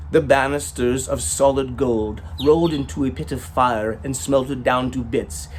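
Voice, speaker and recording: A man reading a short story as its storyteller, in a theatrical, rhythmic manner with drawn-out emphasis and rhyming phrases. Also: medium pitch, a little mellow, a little hiss or hum. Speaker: storyteller, author reading own work.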